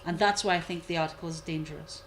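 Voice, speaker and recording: A woman commentator giving a measured analysis, repeating key points for emphasis. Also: low-pitched, steady tone, a little hiss or hum. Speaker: guest commentator. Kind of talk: analytical discussion.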